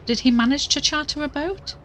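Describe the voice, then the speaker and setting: An actress playing a worried, determined young podcaster in an audio drama, earnest and conversational. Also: female voice, sits high, a little fast, a bit bright. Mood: polite, anxious. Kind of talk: audio drama dialogue and recorded narration.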